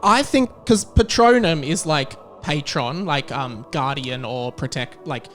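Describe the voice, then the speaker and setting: A man with a fairly high voice hosts a podcast. He talks in a casual, comedic way, like someone chatting with a friend. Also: animated, a little slow, a bit bright, faint music behind. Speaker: podcast host and quizmaster.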